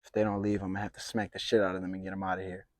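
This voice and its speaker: A man's voice: deep voice, completely flat delivery, racing, a little mellow, no echo. A man chatting casually as a prank vlogger, brash and slangy, with frequent swearing. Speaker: prank video creator. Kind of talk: casual profane vlog chat.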